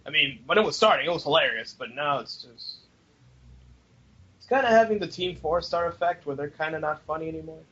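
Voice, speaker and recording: A man's voice: on the high side, brisk, sharp tone. A man bantering casually on a podcast in a goofy, sarcastic way, over a dull lo-fi voice chat. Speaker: podcast host or co-host.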